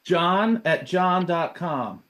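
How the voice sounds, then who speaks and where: Higher-voiced man asking casual questions. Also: animated, very slow, a bit bright. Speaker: test caller.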